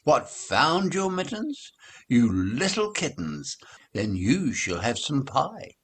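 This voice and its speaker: A man's voice: medium pitch, wildly swooping pitch, slow, a bit bright, slightly rough, pitched above the performer's own voice, heavily acted. A man voicing a storybook mother cat who exclaims at her kittens in a sing-song, motherly scolding way. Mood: surprised, fond.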